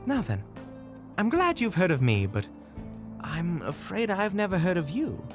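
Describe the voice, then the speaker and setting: An actor playing a kind-hearted monster hunter in an audio roleplay, dry, sarcastic and gently scolding. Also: medium pitch, big pitch swings, a little slow, music in the background. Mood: calm, dry. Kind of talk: audio roleplay drama acting.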